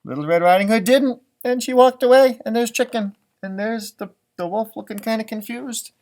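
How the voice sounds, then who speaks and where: A man hosting a children's storybook read-aloud, expressive and playful, adding chatty asides and wondering aloud. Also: high voice, moderate pace. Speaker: storytime read-aloud host, music teacher.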